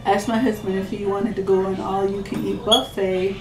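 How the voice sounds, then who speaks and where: A woman in her thirties with a faint Jamaican accent, talking casually to her camera in a slow, relaxed, chatty way. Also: mid-range voice, roomy sound, over music, poor audio. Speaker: vlogger. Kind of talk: casual vlog chat. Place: living room indoors, then a parked car.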